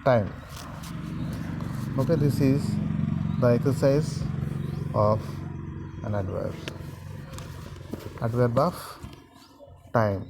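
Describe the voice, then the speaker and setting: A man teaching a grammar lesson to students, repeating sentences and pausing often with fillers. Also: mid-range voice, gravelly, background noise. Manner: slow, repetitive, halting with many fillers.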